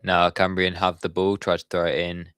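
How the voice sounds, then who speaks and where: Deep-voiced man calling football live. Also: completely flat delivery, moderate pace, fairly bright tone, clean tone, no room sound, studio quality. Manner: chatty, talks to viewers in the chat. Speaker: watchalong streamer. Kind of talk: live football commentary on a stream.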